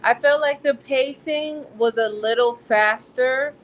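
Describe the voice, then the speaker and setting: Girl co-hosting a book podcast, higher voice, laid-back slangy joking style. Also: a little slow, a little hiss or hum. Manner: playful, slangy, self-joking. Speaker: book discussion co-host. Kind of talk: casual book club chat, some synopsis reading.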